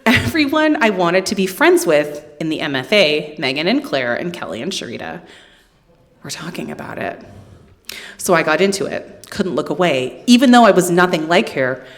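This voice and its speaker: A woman poet reads her work live in a hall. Her voice sits a little low but moves widely up and down. The delivery is wry and deadpan in its humour, slipping between literary reading and casual asides. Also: moderate pace. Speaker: poet reading from own book.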